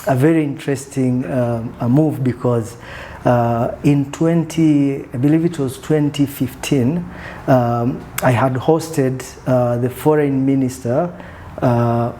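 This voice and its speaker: A soft-spoken man, reflecting on his career in a relaxed interview, with many fillers. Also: mid-range voice, slow, a little hiss or hum. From Tanzania. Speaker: guest, country manager. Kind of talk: conversational interview.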